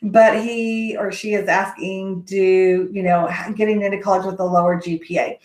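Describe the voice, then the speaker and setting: A woman's voice: mid-range voice, level tone, moderate pace, a little mellow. A woman with a Texas accent hosting a casual question-and-answer session on college admissions, rambling with frequent ums and restarts. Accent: Texan. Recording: echoey room.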